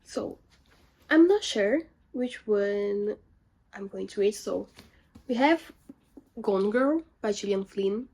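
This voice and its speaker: A woman's voice: medium pitch, slow, long pauses. A young woman chatting casually about her books in a room lined with bookshelves, rambling and correcting herself as she goes. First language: not French. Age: young adult. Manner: chatty, self-correcting, animated asides. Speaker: book vlogger. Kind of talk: casual chat.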